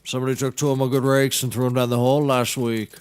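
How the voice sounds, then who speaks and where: A man's voice: medium pitch, little pitch movement, quick pace, a little mellow, smooth, lightly acted. A man playing an aggrieved maintenance man turned amateur lawyer, petty and blustering, in a scripted comedy. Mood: suspicious.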